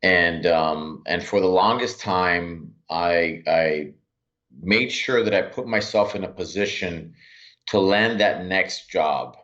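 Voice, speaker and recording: A man's voice: low-pitched, fairly bright tone. A man in his forties from Miami, telling his story earnestly in an interview, often restarting his sentences. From Miami, USA. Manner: earnest, halting, many restarts. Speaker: podcast guest. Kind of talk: reflective interview talk. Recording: dull lo-fi sound.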